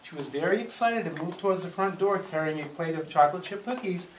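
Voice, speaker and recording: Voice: medium pitch, fast. Theatrical storyteller reading to a group, coaching them along, far from the mic with lots of noise. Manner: theatrical, encouraging, interactive. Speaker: storyteller leading a live audio theatre show. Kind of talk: storytelling read aloud to a live group, with coaching asides. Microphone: handheld camcorder at a distance. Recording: echoey room, distant camcorder mic, noisy.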